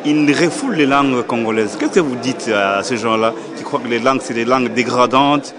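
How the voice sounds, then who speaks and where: A man with a mid-range voice interviews guests, asking direct and probing questions. A busy crowd chatters loudly in the hall around him. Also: mid-range voice, fairly quick. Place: indoor book fair hall.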